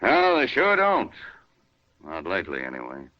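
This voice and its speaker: A man's voice: low-pitched, wildly swooping pitch, normal speed, no room sound. An American man playing a bitter, weary frontier gunman in a 1950s radio western. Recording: muffled vintage radio recording.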